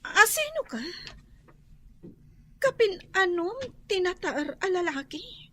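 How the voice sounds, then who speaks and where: An actress playing an injured young highborn woman, dazed and fearful, in an Ilocano radio drama. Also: big pitch swings, slow, pushed and bright, exaggerated voice. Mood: wary, curious. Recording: noisy track with chirping birds.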